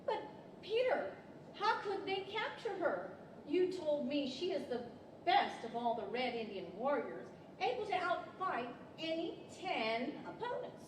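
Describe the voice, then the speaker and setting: A woman plays an earnest, motherly little girl in a live radio play. Her voice is high and swings widely as she explains things like a storyteller. It is picked up at a distance in a reverberant hall. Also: big pitch swings, a little slow. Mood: concerned. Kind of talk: 1940s radio-theater acting. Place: large library hall, live stage performance. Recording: echoey hall, distant mic, background noise.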